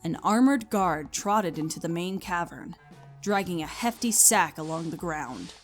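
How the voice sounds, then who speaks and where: A woman's voice: fairly low pitch, lively intonation, measured pace. A woman reading scripted story narration as the narrator. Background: music.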